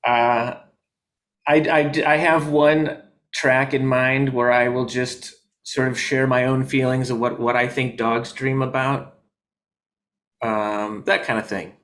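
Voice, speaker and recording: A man as a comedian guest in a casual video-call interview, rambling with deadpan jokes and many fillers. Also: mid-range voice, slow. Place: his home in New York.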